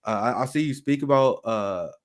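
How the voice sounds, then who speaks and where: A man hosting a live talk show, speaking with many restarts and pauses. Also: mid-range voice. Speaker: host.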